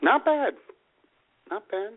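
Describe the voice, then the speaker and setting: Middle-aged male radio comedy co-host, lively swooping delivery, slightly high voice, wry, on phone-like audio. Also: takes it slowly. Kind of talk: casual comedic banter. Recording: tinny, phone-like band-limited audio.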